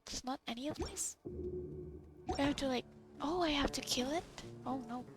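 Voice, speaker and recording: A woman's voice: sits high, normal speed. A woman gamer giving casual gameplay commentary, muttering to herself in fragments that trail off. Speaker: gamer playing and reacting. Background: video game music.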